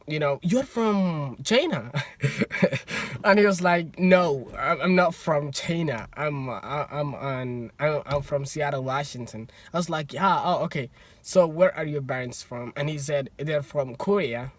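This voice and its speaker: A young man from Somalia with a Somali accent, casually telling stories as a student and acting out the conversations. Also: fairly high pitch. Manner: animated, acts out both sides of conversations, laughs often. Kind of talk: casual storytelling.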